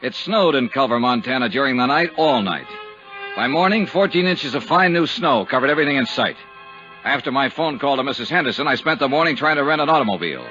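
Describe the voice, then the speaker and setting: Hard-boiled investigator, matter-of-fact, sharp forceful voice, 1950s radio drama, dull recording with music. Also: male voice, mid-range voice, animated, fast. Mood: dry narration. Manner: clipped, dry, matter-of-fact. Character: brisk, hard-boiled insurance investigator narrating his case.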